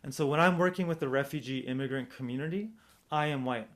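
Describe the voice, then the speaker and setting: A man's voice: medium pitch, fast. A man with an American accent giving an informal academic presentation, thinking aloud in a reflective, hedging way and posing rhetorical questions. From United States, Southern California. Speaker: presenter, domestic violence practitioner.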